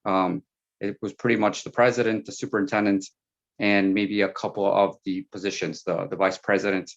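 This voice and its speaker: A man whose first language is Spanish, a school board candidate speaking frankly in a panel Q&A with halting fillers. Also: lowish voice, little pitch movement, gravelly. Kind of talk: panel Q&A answer. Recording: dull lo-fi sound.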